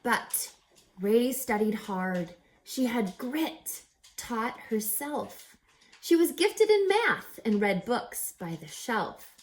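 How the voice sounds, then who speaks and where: A woman reading a rhyming children's picture book aloud as a teacher, with theatrical, deliberate, emphatic phrasing. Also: a little high, very animated, low-quality recording. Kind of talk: expressive children's read-aloud of rhyming verse.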